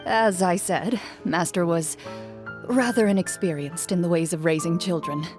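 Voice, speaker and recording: A woman voicing a calm, reserved young duelist in an anime-style game, speaking formally and matter-of-factly. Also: on the low side, moderate pace, music in the background, natural voice.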